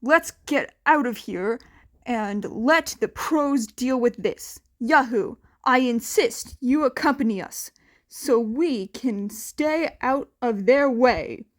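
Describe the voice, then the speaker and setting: A woman narrator plays an earnest teenage boy hero student in a story reading. He speaks formally and firmly, as if giving orders. The voice sits fairly high and is full of resolve. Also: lively intonation, slow pace. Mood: resolute. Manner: formal, declarative.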